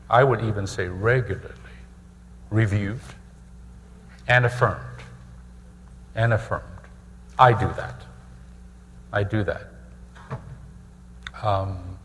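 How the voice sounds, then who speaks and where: A middle-aged man from Hong Kong preaching a testimony sermon, measured and repeating phrases for emphasis. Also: lowish voice, gravelly, a bit bright, in a large hall, slight background noise. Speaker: pastor.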